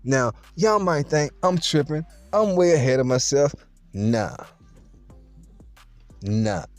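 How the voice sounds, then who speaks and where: Animated storytelling man with street slang. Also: on the high side, takes it slowly, no echo, faint music behind. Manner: streetwise, playful, boastful slang, addresses listeners directly. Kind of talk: casual storytelling to viewers.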